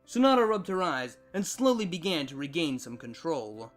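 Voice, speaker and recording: A narrator reading fan fiction aloud in an expressive scripted storytelling style. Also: medium pitch, normal speed, soft background music.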